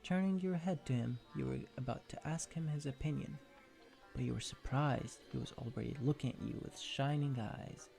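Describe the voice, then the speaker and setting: A narrator reads a fan fiction story in an ASMR style. The voice is dark and soft-edged with plenty of vocal fry, and music plays quietly behind it. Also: mid-range voice, normal speed.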